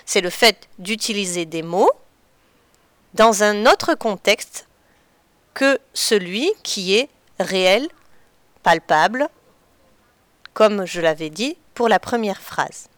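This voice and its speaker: A woman addresses children as their teacher, patient and deliberate as she explains. Her voice is smooth and clear. The sound is dry and close. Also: mid-range voice, animated, dry recording. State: slightly stuffy from a cold. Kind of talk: children's lesson on figurative expressions.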